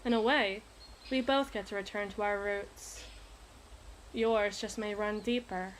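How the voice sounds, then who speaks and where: A woman's voice: mid-range voice, steady tone, a little slow, a little hiss or hum, close to an everyday voice, poor audio. A woman playing a possessive, imperious evil queen in an ASMR roleplay, speaking in formal, teasing, theatrical diction. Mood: wry, rebellious.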